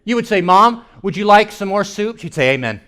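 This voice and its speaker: A man doing stand-up comedy storytelling to a live audience, animated and acting out reactions and dialogue as he goes. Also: high voice, brisk. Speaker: comedian and keynote speaker. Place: church hall in front of a live audience. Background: audience laughter.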